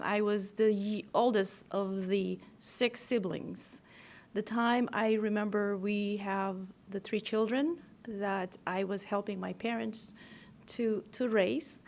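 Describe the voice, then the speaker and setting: A woman from the Philippines with a Filipino accent, telling her story as an interview guest in halting phrases. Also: medium pitch, gravelly.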